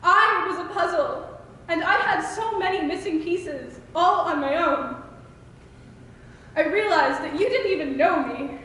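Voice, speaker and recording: A teenage woman reciting slam poetry with dramatic, deliberate phrasing in an echoing hall. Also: very high-pitched, lively intonation, a bit bright. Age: teens. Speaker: student poet. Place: school open mic performance in a reverberant room. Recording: echoey hall with faint noise.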